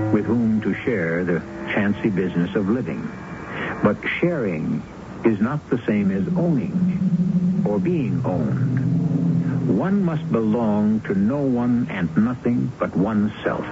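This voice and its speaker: A man hosting an old radio drama, giving measured, reflective commentary between acts. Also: fairly low pitch, noisy background. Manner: measured and reflective, with deliberate emphasis. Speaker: host. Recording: dull 1970s radio broadcast with loud music.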